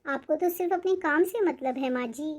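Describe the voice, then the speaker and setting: A woman's voice: sits high, moderate pace, close to an everyday voice. A woman voicing a put-upon young mother and daughter-in-law in a cartoon family drama, polite but aggrieved.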